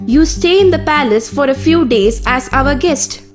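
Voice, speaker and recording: A woman's voice: sits high, animated, moderate pace, soft background music. A woman voicing a poised young princess in a children's cartoon, speaking in a formal, questioning way. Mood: poised, regal. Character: poised, discerning young princess choosing suitors. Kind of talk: children's animated story dialogue.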